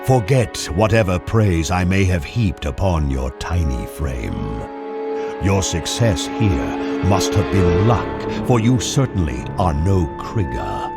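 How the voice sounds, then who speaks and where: Big menacing warrior, gravelly n pompous, takes his time, loud music behind. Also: very animated, full character voice. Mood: scornful. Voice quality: deep, gravelly. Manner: pompous, slow, theatrical. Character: big, proud, menacing arena warrior, easily annoyed. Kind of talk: fantasy game movie voice acting.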